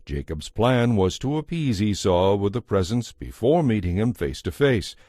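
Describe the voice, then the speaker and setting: A man narrating a dramatized audio Bible in a measured, scripted storytelling style. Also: fairly low pitch, big pitch swings, dark tone, dry recording, clean studio sound.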